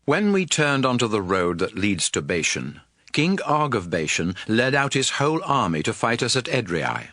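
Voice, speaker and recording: A man narrating scripture as a dramatized audio Bible, with a measured, expressive storytelling delivery. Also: mid-range voice, dry recording, studio quality.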